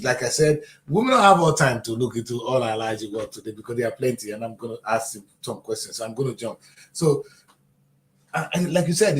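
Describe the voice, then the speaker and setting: A man hosting a TV talk show, animated, with quick interjections and affirmations. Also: mid-range voice, reverberant. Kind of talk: conversational TV interview.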